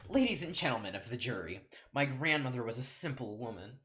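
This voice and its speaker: A man's voice: mid-range voice, big pitch swings, brisk, fairly bright tone, pitched above the performer's own voice. A man voicing a pompous, folksy, theatrical courtroom lawyer in an amateur solo script reading. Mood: folksy, grandiose.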